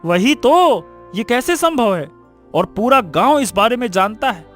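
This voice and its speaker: A man plays a cartoon village shopkeeper with an extremely high voice. He is anxious and gossipy, excitable and prone to repetition, over a light music bed. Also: unhurried, exaggerated voice. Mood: gossipy, excited. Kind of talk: scripted cartoon story acting.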